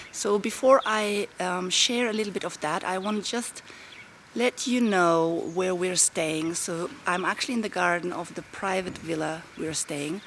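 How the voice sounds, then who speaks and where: Gravelly-voiced woman coaching business outdoors. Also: lowish voice, a bit bright, slight background noise. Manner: upbeat, explaining step by step. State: rough voice. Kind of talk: casual talk to camera. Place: garden of a private villa, outdoors.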